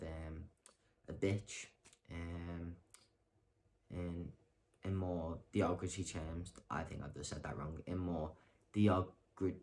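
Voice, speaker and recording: A young man with a low voice talks straight to the camera in a loose, rambling monologue. He stumbles through ums and restarts, keeps correcting himself, and delivers his jokes deadpan. Faint noise sits behind him. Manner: rambling, hesitant, full of ums and self-corrections; deadpan self-mocking humor. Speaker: vlogger. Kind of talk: casual talking-to-camera monologue.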